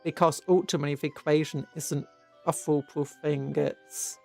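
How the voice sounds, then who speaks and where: A British livestreamer talks casually to viewers in a medium-pitched voice. The delivery wanders, with hesitations and restarted sentences. Soft music plays behind the voice. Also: steady tone, moderate pace, creaky. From Britain. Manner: rambling, hesitant, trailing off and restarting. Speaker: livestream host. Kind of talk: casual rambling livestream chat.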